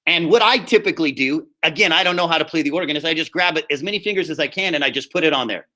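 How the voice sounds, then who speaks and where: A man speaking as a high school drama teacher, giving upbeat, informal instructions to students and often checking that they follow. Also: rapid-fire, noisy background. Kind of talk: casual instructional talk to students in an online class.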